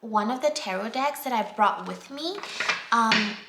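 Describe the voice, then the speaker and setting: Slow, soft-spoken woman. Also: a little high, lively intonation. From Singapore. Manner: gentle, unhurried, many fillers, drops to a near whisper while drawing cards. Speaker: tarot reader. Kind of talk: casual pick-a-card tarot reading. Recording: echoey room, faint background noise.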